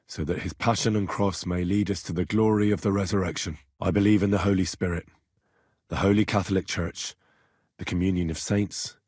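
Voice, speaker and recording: A man's voice: deep voice, darkish tone, slightly rough, dry recording, studio quality. A man voicing a stubborn, cheeky young medieval man in a video game, with blunt, earthy, conversational delivery. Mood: hushed, prayerful. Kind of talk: video game character dialogue.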